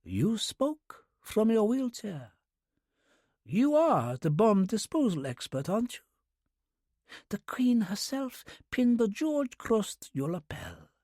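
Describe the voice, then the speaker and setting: A narrator voicing a soft-spoken elderly Russian widow with a Russian accent, half-whispering, calm and shrewd. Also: medium pitch, no room sound, studio quality, voice pushed up. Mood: gracious. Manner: quiet, slow and measured. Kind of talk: audiobook character voice.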